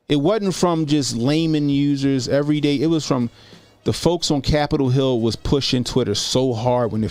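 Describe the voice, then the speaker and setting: A man in his forties doing casual livestream commentary as a YouTube host, talking informally and repeating phrases for emphasis. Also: fairly high pitch. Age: 40s.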